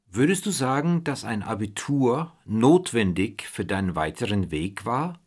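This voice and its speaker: A man's voice: medium pitch, big pitch swings, a little slow, slightly rough. A male interviewer with a mid-range voice delivers scripted lines. He introduces and questions a guest in a prepared, read style.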